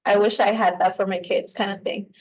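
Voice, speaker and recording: A woman's voice: mid-range voice, steady tone, fairly quick, a little hiss or hum, low-quality recording. Chatty young woman with a Spanish accent. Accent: Mexican Spanish. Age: young adult. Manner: chatty, heavy use of "like", switches into Spanish. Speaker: podcast co-host. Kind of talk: casual conversation.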